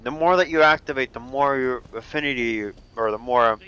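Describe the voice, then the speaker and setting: Young man, let's play commentary, bright tone, loose profane chatter. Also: mid-range voice, normal speed, highs cut off. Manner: rambling, profane, laughs often. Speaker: gameplay commentator.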